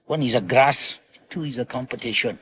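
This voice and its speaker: An actor playing a bossy, edgy British crime crew leader in a radio drama, giving clipped, commanding instructions. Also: male voice, medium pitch, moderate pace, fairly bright tone, a little hiss or hum, natural voice. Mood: grim, vengeful.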